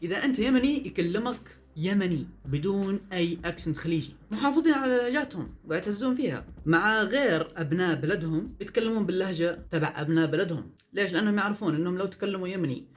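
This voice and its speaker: Rapid-fire vlogger. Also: male voice, high-pitched. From Yemen. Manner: lively, emphatic, rhetorical questions. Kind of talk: fast opinion monologue. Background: faint background music.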